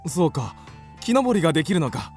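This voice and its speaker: A man's voice: fairly high pitch, very animated, a little fast, darkish tone, faint music behind. An actor playing a dignified, cautious father in a radio drama, with a formal, authoritative manner. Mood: doubtful. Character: dignified father, stern and cautious master of the house.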